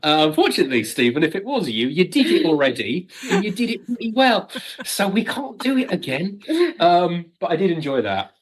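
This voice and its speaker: A man's voice: high voice, sing-song, fairly quick, hardly any pauses, crowd noise. A British man chatting as an interview guest and writer, with a wry, jokey way of acting out remarks. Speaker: guest, audio drama writer. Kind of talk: casual conversational interview.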